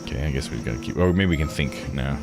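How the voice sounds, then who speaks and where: A man's voice: rumbling low, fast, over loud music. A man streaming a visual novel with casual, slangy commentary, musing aloud.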